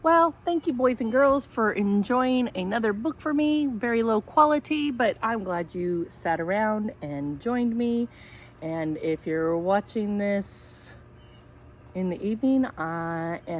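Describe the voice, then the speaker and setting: A woman's voice: mid-range voice, a little hiss or hum. A woman reading a picture book aloud for children in a playful, dramatic way, with chatty asides to the listeners.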